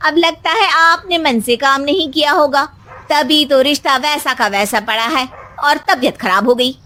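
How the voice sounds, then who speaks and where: A woman voicing a cheeky, quarrelsome young Indian daughter-in-law with quick comebacks in a cartoon story. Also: high voice, sharp tone. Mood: needling.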